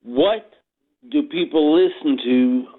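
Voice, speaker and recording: A man's voice: a little high, takes it slowly. An older man calling in to a radio show, good-humored and self-deprecating. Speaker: caller. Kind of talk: phone-in chat. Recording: tinny phone line.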